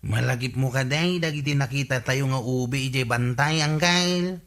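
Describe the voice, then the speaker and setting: A man's voice: mid-range voice, normal speed, fairly bright tone, lightly acted. An actor playing a chatty, scheming village man with an Ilocano accent in a radio comedy drama. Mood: sly, matter-of-fact. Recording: noisy track, birdsong behind.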